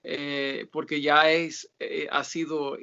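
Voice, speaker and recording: A man, a guest author, answering religious questions in a casual interview, talking haltingly with many filler sounds and pauses. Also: fairly high pitch, little pitch movement, gravelly, bright, forceful tone, smooth, lo-fi sound. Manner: reads scripture aloud in a steadier tone.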